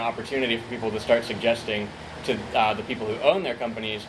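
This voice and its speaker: A man's voice: on the low side, noisy background. Hesitant young man answering questions. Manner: hesitant, many fillers and restarts. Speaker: conference speaker. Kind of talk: conference Q&A answer.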